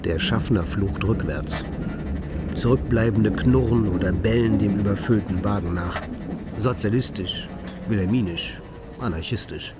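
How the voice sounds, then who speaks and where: A man's voice: on the low side, measured pace, heavy background noise, close to an everyday voice. A man reading scripted literary narration as a second narrator in a radio play. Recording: music behind the voice. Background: music.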